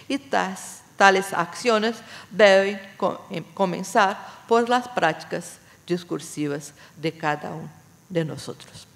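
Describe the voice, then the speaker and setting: A woman's voice: mid-range voice, takes it slowly, creaky. A middle-aged woman, a native Portuguese speaker with a Brazilian accent, gives an academic lecture in Spanish. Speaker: plenary speaker. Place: large brick-walled university auditorium. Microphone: desk gooseneck microphone. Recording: long reverberant auditorium sound.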